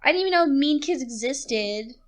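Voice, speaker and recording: A woman's voice: sits high, lively intonation, normal speed. A young woman from the United States chatting casually as a podcast co-host, telling stories with lots of "like". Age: young adult. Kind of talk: casual chat.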